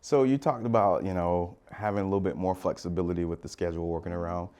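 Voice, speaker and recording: A man's voice: deep voice, fairly even intonation, fast, darkish tone. A man hosting a podcast interview, easygoing and affirming, often saying it makes sense. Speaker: podcast host.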